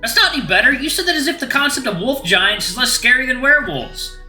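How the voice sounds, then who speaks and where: A performer voicing a panicked, indignant young human who sputters in shrill exasperation. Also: expressive, quick pace, sharp tone, roomy sound, soft background music, heavily acted.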